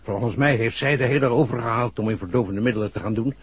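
An actor playing an authoritative senior police chief in a 1960s Dutch radio drama, clipped and commanding. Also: male voice, mid-range voice, fast talker, natural voice. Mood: measured. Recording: dull, noisy vintage radio drama recording.

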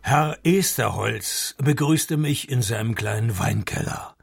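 A man's voice: medium pitch, animated, unhurried, gravelly, slightly rough, faint music behind, heavily acted. A man playing a sardonic, hard-drinking private detective in a radio play, dry and laconic. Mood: dry, narrating. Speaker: narrator and lead actor of a radio play. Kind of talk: radio play narration and dialogue.

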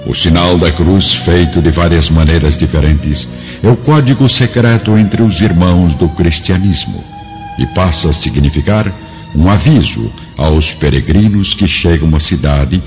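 A man's voice: deep voice, lively intonation, unhurried, darkish tone. A man with a Brazilian accent giving solemn, formal, dramatic narration for a radio drama. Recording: dull lo-fi radio drama, music behind.

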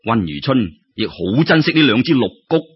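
A man with a Cantonese accent telling a story in an expressive, colloquial way, with exclamations and rhetorical questions. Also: medium pitch, normal speed, breathy edge. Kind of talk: animated traditional Cantonese storytelling narration. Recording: dull lo-fi audio.

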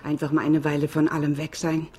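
An actress playing a friendly, matter-of-fact office colleague in a naturalistic radio drama. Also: female voice, low voice, little pitch movement, brisk, darkish tone, slight background noise, lightly acted.

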